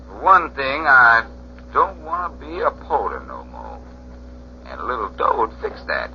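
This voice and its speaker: An actor playing a sly, slangy hotel porter turned blackmailer in a 1940s radio crime drama, taunting and unhurried. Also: male voice, medium pitch, very animated, gravelly. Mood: sly, menacing. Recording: muffled, hissy 1940s radio recording.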